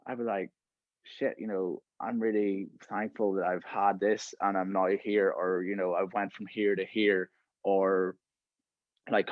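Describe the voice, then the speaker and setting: Low-voiced man in his thirties, casual podcast chat. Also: dry recording, muffled recording. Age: 30s. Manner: conversational, fillers like "right?" and "you know". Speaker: podcast host.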